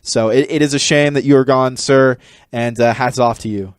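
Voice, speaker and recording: A man with a mid-pitched voice co-hosts an entertainment podcast. He talks casually and gives blunt opinions. The sound is close and dry. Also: steady tone, normal speed, clear, resonant tone, dry recording. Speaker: podcast co-host.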